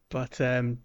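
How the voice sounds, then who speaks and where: A man hosting a casual podcast chat, chatty and laughing often between fillers. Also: mid-range voice. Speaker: podcast host. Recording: dull lo-fi podcast audio.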